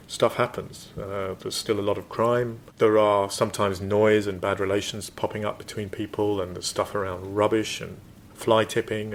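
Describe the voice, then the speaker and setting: A man speaking in a thoughtful, measured way about his street and community in an interview. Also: on the low side, a little hiss or hum. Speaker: interviewee, local resident. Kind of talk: reflective interview talk.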